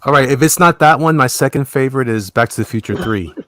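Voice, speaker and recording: A man's voice: mid-range voice, quick pace, a bit bright. A man chatting casually on a livestream, playful and given to slipping into a put-on Southern drawl.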